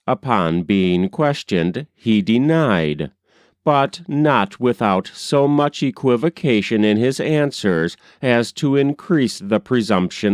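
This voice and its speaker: A man reading a historical memoir aloud as an audiobook narrator, in a measured, formal storytelling manner. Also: mid-range voice, a little mellow, dry recording, studio quality. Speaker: audiobook reader.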